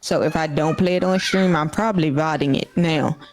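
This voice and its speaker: A woman in her late twenties, a streamer guest, explaining her work in a measured way with many fillers. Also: deep voice, a little hiss or hum. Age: 20s. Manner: measured, explanatory, full of "you know". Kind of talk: casual interview chat.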